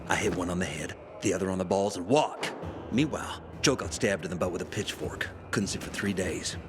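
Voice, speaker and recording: A man acting a wisecracking, fast-talking scoundrel of a prisoner in a video game cutscene, joking and wheedling like a born storyteller. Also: deep voice, lightly acted. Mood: animated storytelling. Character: wisecracking male prisoner, fast-talking scoundrel who jokes through misery. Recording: music behind the voice.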